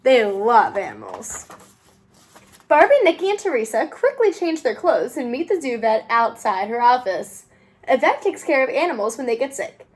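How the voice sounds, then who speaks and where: A woman reading a children's storybook aloud in an expressive, sing-song way as host of a read-aloud channel. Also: a little high, normal speed, husky. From United States.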